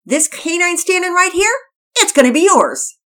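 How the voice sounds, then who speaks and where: A woman's voice: very high voice, big pitch swings, normal speed, sharp tone, pitched above the performer's own voice, exaggerated voice. A woman voicing an enthusiastic, slangy surfer-dude teenage boy in a read-aloud story. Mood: excited. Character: laid-back surfer-dude teen boy.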